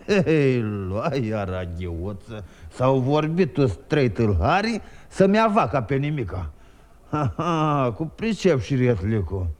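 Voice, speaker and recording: Sly jolly peasant trickster lad, high bouncy voice, rhyming and chuckling. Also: male voice. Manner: lively, boastful, chuckling rhymed telling. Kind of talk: 1950s radio drama, rhymed storytelling.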